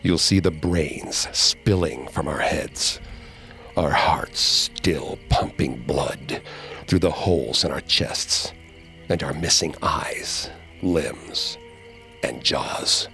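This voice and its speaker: A man voicing a crowd of vengeful murdered spirits speaking through a medium, in a groaning, ominous, slow voice. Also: a bass voice, vocal fry, pushed and bright, soft background music, pitched below the performer's own voice, full character voice. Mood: menacing.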